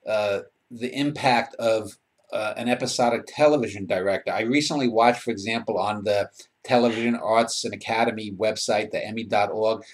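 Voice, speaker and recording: Rambling low-voiced interviewer. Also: male voice, fairly even intonation, normal speed. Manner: rambling, hesitant questions with fillers. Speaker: interviewer, host. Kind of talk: interview questions. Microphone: video call.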